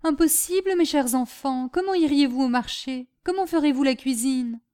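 A woman voicing a dramatic, fretful mother and lady of the house in a storybook, plaintive and high-strung. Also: normal speed, warm tone, lightly acted. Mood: worried, kindly.

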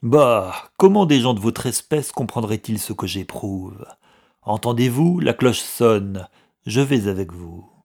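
Guy doing a bitter old down-and-out for an audiobook, husky, sneering, pushy, sardonic. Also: lowish voice, lively intonation, slow pace, darkish tone, heavily acted. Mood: angry. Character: bitter, scornful, grey-haired down-and-out younger brother. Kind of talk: audiobook character dialogue.

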